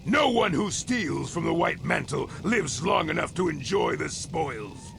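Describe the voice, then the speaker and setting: An actor playing a cold, vengeful military commander in a video game, with a croaky voice. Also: male voice, a little high, expressive, a little slow, noisy background, heavily acted. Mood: cold, threatening. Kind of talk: video game cutscene acting.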